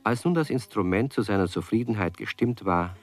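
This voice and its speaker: A man's voice: fairly low pitch, lively intonation, fairly quick, music in the background, natural voice. A man narrating a children's radio tale as a genial storyteller, explaining things in scripted narration.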